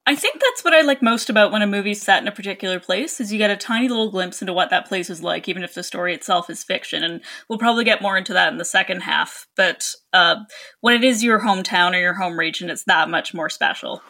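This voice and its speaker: A woman co-hosting a film podcast who talks quickly and clearly. Her voice has a bright, forceful edge, and her pitch sits in the middle range. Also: expressive. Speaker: podcast co-host. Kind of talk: casual film discussion.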